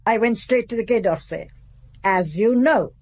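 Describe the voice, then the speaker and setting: A woman's voice: a little high, normal speed, darkish tone, natural voice. Woman playing a stiff, curt elderly housekeeper. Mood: curt, formal. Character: stiff-necked, devoted old housekeeper, female, seventy-one. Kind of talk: radio drama acting.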